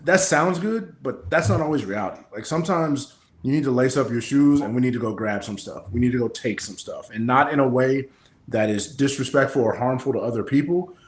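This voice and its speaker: A man's voice: medium pitch, fairly quick. American man in a roomy, echoing space. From Kansas City, Missouri, United States. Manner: straightforward, explanatory. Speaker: guest, personal development coach. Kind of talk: conversational interview answers.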